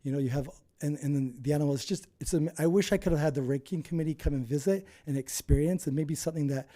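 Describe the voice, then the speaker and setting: A nervous, hoarse man from Utah, speaking earnestly as a landowner giving public comment at a meeting. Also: on the high side, fast, mellow.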